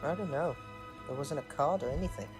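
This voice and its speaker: A woman plays a level-headed young teenage schoolboy in an audio drama. The pitch sits low, and the delivery is questioning and matter-of-fact. Music plays clearly behind the lines. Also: brisk, close to an everyday voice. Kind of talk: fan audio drama acting.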